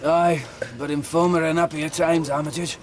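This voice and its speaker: A male actor plays a grave, decent castaway in a radio drama. His high voice gives earnest orders over clear boat and water noise. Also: little pitch movement, moderate pace, natural voice. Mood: wistful. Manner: earnest, commanding. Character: humane, grave male convict taking charge of a lifeboat. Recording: noisy sea and boat effects.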